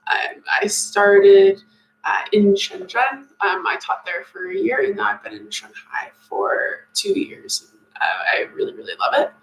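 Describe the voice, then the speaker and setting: A woman's voice: on the high side, wildly swooping pitch, measured pace, creaky. A young woman from Maine hosting a casual live Q&A, chatty and upbeat with lots of ums. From Maine, USA. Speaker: teacher recruiter hosting a live Q&A. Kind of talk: casual livestream chat answering comments. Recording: echoey room.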